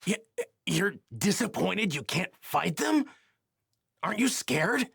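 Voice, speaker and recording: An actor playing an earnest, grave young warrior from a doomed future in an anime video game dub, speaking politely and deliberately. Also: male voice, sits high, very animated, creaky, fairly bright tone, breathy edge, dry recording, clean studio sound, heavily acted. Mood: surprised, respectful.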